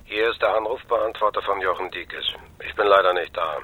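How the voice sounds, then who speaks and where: A tinny answering machine plays a greeting. The voice is low and husky, plain and matter-of-fact. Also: male voice, fairly quick. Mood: neutral. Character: man's flat recorded answering machine greeting. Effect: answering machine filter.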